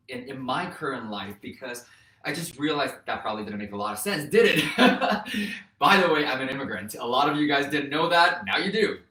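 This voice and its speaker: A man's voice: mid-range voice, bright, forceful tone. Earnest man, native Mandarin speaker, echoey room. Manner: earnest, encouraging, addresses viewers as "you guys". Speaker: host, real estate educator. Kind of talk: motivational vlog talk to camera. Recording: roomy echo, mic at a distance.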